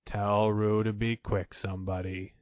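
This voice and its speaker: A man voicing a gloomy, long-suffering old donkey in a children's storybook, grumbling in a resigned, deadpan way. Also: lowish voice, unhurried, no echo, clean studio sound, voice pushed down, lightly acted.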